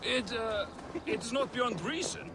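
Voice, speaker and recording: High, pleading captive scout. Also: male voice, slow, exaggerated voice. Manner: pleading, evasive. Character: frightened, pleading male scout posing as farmer. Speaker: video game voice actor.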